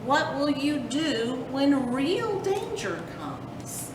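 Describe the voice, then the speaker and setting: A firm, loving mother possum from a storybook , played by a woman reader. She scolds with slow, emphatic, drawn-out words and a swooping pitch. The sound is distant. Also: very animated, exaggerated voice. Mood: worried. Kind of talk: children's storybook read aloud. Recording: roomy, distant mic with rumbling noise.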